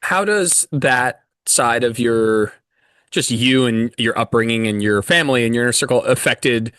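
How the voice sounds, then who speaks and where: A man's voice: mid-range voice, moderate pace, a bit bright, no echo. A man hosting a podcast, asking long, loosely strung questions with restarts. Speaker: podcast host. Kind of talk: casual interview chat.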